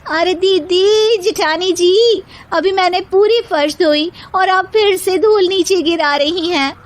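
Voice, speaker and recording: A woman's voice: very high-pitched, takes it slowly. A woman voicing a touchy young daughter-in-law in a cartoon story, in a whiny, complaining and theatrical manner. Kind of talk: cartoon story character acting.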